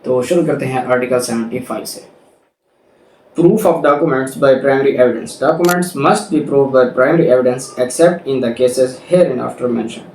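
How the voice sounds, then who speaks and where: A man's voice: mid-range voice, slow, darkish tone. A man with a Pakistani accent giving an explanatory law lecture, reading out provisions and restating them in a didactic way. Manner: didactic, repeats and rephrases key points. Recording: roomy, distant mic with background noise.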